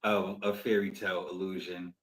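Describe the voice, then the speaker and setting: A man co-hosting a podcast in casual conversation, thinking aloud in a reflective, deliberate way. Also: low-pitched, level tone. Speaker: podcast co-host.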